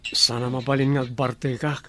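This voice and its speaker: A man's voice: mid-range voice, little pitch movement, normal speed, fairly bright tone, a little hiss or hum, close to an everyday voice. A man in a radio drama playing an easygoing, affectionate young husband who talks mildly. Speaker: office worker husband.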